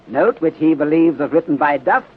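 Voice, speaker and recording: A man playing a courteous, methodical Chinese detective inspector in 1930s radio drama style, speaking formally in clipped phrasing with deliberate pauses and dropped articles. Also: fairly high pitch, animated, husky. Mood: insistent, deliberate. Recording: muffled, narrow-band 1930s radio recording.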